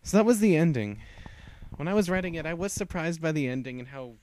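A young man reading his own fan story aloud, halting and restarting often, then chatting casually to viewers. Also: on the high side, lively intonation, a little mellow, rough recording. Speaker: fan fiction reader.

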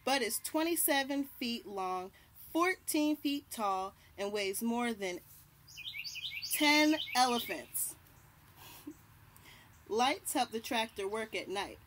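Young female children's read-aloud host, slightly high voice, unhurried and deliberate delivery, porch recording with light birdsong. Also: lively intonation. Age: young adult. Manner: deliberate, pausing to point out and label pictures. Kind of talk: reading a picture book aloud to children. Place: outdoors on a house porch by trees. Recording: birdsong and light outdoor background noise. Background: birds chirping.

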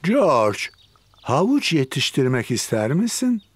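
A man voicing a kindly, patient old grandfather pig in a cartoon, jolly and drawn-out in delivery. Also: fairly high pitch, sing-song, breathy edge, no room sound, full character voice.

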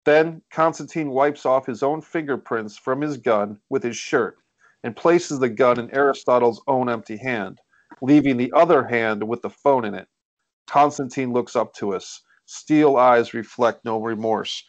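A man's voice: mid-range voice, no room sound. A man hosting and narrating a screenplay table read over a video call. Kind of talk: reading stage directions, casual moderating.

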